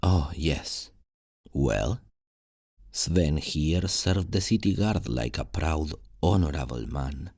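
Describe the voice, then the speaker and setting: A man playing a confident, cheeky thief recruiter in a fantasy ASMR roleplay, gravelly and husky, speaking in an unhurried, playful, expressive way. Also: a bass voice, no room sound, studio quality, exaggerated voice. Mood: teasing introduction. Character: confident, cheeky male thief recruiter showing a newcomer around.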